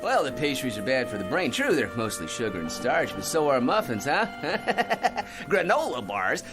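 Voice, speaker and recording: Guy playing a middle-aged windbag at the office, swoopy highish voice, pushy, music behind him, radio drama. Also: very animated, moderate pace, natural voice. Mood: gleeful scoffing. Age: 40s. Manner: long-winded, pushy, chuckles and tags questions with "huh?". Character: tiresome, argumentative middle-aged office bore, big mouth.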